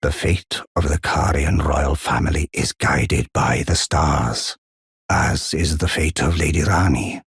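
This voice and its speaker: A man voicing a gentle, wise giant blacksmith and war counselor in a fantasy game, speaking in a courteous, solemn, formal way with a deep, husky, gravelly voice. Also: dry recording, studio quality, full character voice. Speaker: video game character. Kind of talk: scripted fantasy game dialogue.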